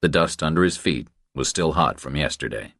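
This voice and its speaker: A man's voice: extremely low pitch, animated, normal speed, fairly bright tone, no room sound, clean studio sound. A man reading a crime thriller aloud as scripted audiobook narration.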